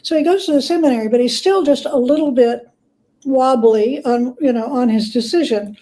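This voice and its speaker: High-pitched woman telling stories, mellow tone. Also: moderate pace, rough recording. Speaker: guest biographer. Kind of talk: conversational anecdotal storytelling.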